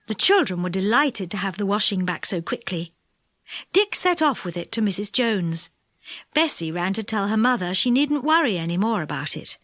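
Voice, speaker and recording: A woman narrating a children's audiobook in an expressive, measured storytelling style. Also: medium pitch, no echo, clean studio sound.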